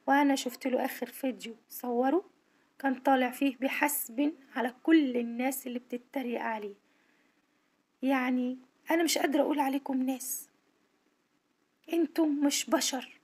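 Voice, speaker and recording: High-voiced Egyptian woman, tired voice. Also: a little mellow. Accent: Egyptian Arabic. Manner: earnest, indignant appeal. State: tired, strained voice. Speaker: social worker. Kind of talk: monologue to camera. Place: at home.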